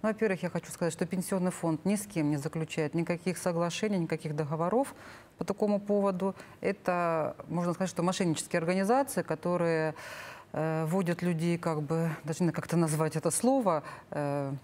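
A woman's voice: on the low side, fairly even intonation, a little fast, a little mellow, a little hiss or hum. A middle-aged woman explaining things as an expert guest in a studio interview, searching for words. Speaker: government official, expert guest. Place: TV studio, seated at a table. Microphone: lapel.

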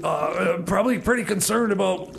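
High-pitched man acting a stammering DJ, gravelly, sarcastic, insecure, halting comedy radio banter. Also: over a noisy crowd. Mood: hesitant, sour. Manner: halting, stammering, constantly repeating words. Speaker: radio music show host.

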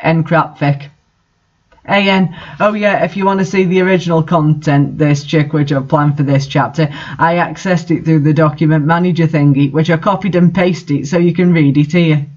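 A man's voice: on the high side, steady tone, moderate pace, a little mellow, lo-fi sound. A man reading fan fiction aloud in a theatrical, wry way, with casual asides.

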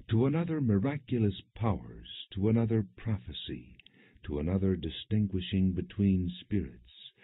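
A man reading scripture as an audiobook narrator, in a measured, solemn, husky voice. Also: low voice, dry recording. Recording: dry, narrow phone-like sound.

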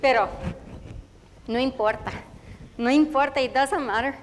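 A woman voicing a young Mexican-American girl on her first day of school, chatty and halting, mixing Spanish words into her English and speaking with a Mexican Spanish accent. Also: high-pitched, in a large hall, slight background noise, natural voice. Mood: eager.

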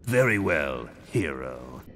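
An actor playing a menacing fallen elder god villain in a video game, speaking in a grandiose, commanding and deliberate way. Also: male voice, extremely low pitch, sing-song, a bit bright, full character voice. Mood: haughty contempt. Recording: game music behind.

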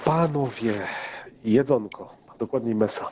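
A man's voice: medium pitch, a little slow, dull audio, noisy background. A man improvising as game master of a tabletop game, often repeating himself and checking with a tag question.

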